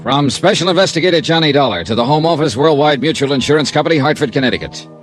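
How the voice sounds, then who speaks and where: A man's voice: on the high side, animated, fast talker, over music, natural voice. An American man playing a wry, confident insurance investigator in a 1950s radio detective drama. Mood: dry narrating. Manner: wry, breezy, clipped. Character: wry easygoing freelance insurance investigator, confident and quick-witted.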